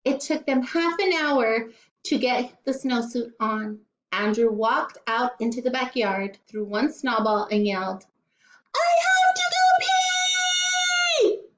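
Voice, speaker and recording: A woman teacher reading a storybook aloud to children in an expressive way, with playful asides. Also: a little high, unhurried, a little hiss or hum. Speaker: primary school teacher. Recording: echoey, slightly dull room recording.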